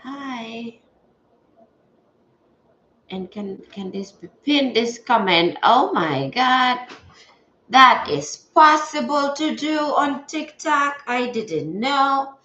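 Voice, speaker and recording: A woman's voice: on the high side, lively intonation, very slow, bright, forceful tone. A woman hosting a casual livestream, stuffy-nosed and chatty, greeting viewers as she talks. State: stuffy nose. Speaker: livestream host. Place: home setup at a table. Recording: echoey room, dull lo-fi sound.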